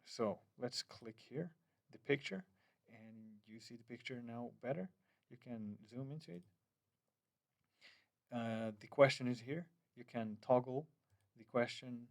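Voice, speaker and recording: Man, mid-pitched, hesitant app walkthrough, dry booth-like sound. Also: no echo. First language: Finnish. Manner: hesitant, many fillers and restarts, loose phrasing. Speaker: app developer. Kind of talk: screen-recorded app demo.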